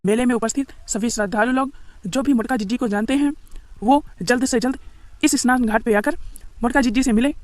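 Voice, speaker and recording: A woman's voice: fairly high pitch, fairly quick, darkish tone, dry recording, close to an everyday voice. A woman playing an officious but helpful reporter in a comedy skit, making insistent, reassuring announcements like a newsreader. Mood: announcing. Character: Chaubat Lal, officious, helpful fairground reporter.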